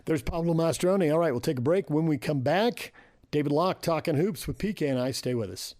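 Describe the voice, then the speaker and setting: A man's voice: fairly high pitch, animated, brisk, darkish tone, slight background noise. An American man hosting sports radio, casually introducing segments and guests. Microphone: close studio microphone.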